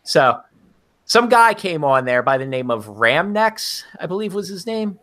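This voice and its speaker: Guest: man, high-pitched, bright, profane ranting storyteller. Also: very animated, measured pace. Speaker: podcast guest.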